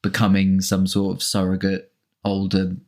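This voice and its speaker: A man's voice: low voice, monotone, normal speed, darkish tone. A man chatting casually as a commentary co-host, often hesitant as he forms his thoughts. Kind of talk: casual chat, film commentary.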